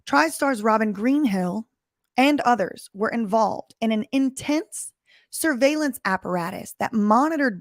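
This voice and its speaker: A woman lawyer with a hoarse, weakened voice, reading court papers aloud and explaining them emphatically in plain terms. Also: fairly high pitch, dry recording, clean studio sound. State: recovering from lost voice. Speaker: lawyer commentator. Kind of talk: reading and commenting on court documents.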